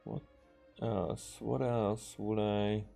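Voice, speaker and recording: Low-voiced man chatting on a livestream. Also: little pitch movement, vocal fry, mellow. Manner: hesitant, halting, thinking aloud. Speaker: game streamer. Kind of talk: casual livestream chat. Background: music.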